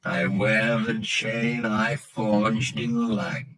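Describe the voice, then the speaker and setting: An actor playing the solemn ghost of a dead businessman in a vintage radio play, slow, grave and hushed. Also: on the low side, level tone, full character voice. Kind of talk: vintage radio drama acting. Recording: old radio play with music. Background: music.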